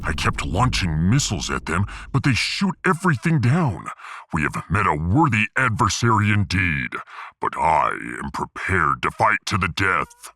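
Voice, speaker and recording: A man's voice: low-pitched, very animated, moderate pace, sharp tone, no echo, full character voice. A man playing a proud, battle-hungry barbarian starship gunner in a sci-fi audio drama, speaking formally and stoically. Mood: proud, grim.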